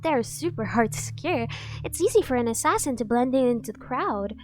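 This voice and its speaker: A woman's voice: a little high, expressive, a little fast, a little mellow, soft background music, natural voice. A woman playing a dorky, obsessively devoted yandere bodyguard in an ASMR roleplay, cutesy, gushing and insistent. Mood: clingy, devoted.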